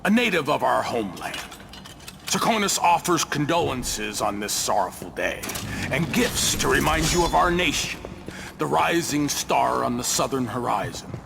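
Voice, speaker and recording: A man's voice: medium pitch, very animated, moderate pace, gravelly, background noise. An actor playing a pompous, scheming duke in a video game, a man declaiming like a grandiose ceremonial orator. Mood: ceremonial, smug. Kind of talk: dramatic video game acting. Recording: music behind the voice.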